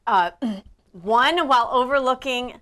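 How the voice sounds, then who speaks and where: A woman from the Midwest co-hosting a casual political talk show, chatty and quick to laugh. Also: fairly high pitch, a bit bright. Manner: chatty, laughs often, drifts between topics. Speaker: co-host.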